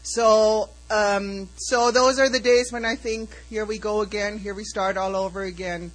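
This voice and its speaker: A woman's voice: mid-range voice, steady tone, measured pace, poor audio. A woman guest speaker frankly and earnestly answering audience questions, with a few fillers.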